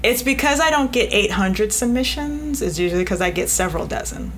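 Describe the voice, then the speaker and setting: A woman's voice: medium pitch, expressive, fairly quick, a bit bright, soft background music. A woman speaking frankly and emphatically as an editor being interviewed, joking and acting out examples as she explains. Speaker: magazine editor, podcast guest. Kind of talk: animated panel interview talk.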